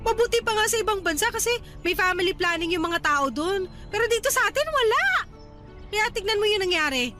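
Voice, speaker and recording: A woman's voice: very high-pitched, lively intonation, a little fast, bright, forceful tone, soft background music, heavily acted. A young woman with a Filipino accent playing a vain, ambitious resort worker weary of family burdens, in theatrical radio drama style. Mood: indignant. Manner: theatrical, indignant, exclaiming.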